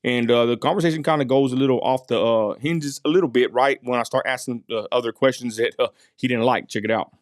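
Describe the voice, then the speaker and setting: A man's voice: medium pitch, moderate pace, hardly any pauses, no echo, clean studio sound. A man from West Texas narrating his street interview in a casual voice-over, full of fillers and asides to viewers. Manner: folksy, addresses viewers as y'all, many fillers.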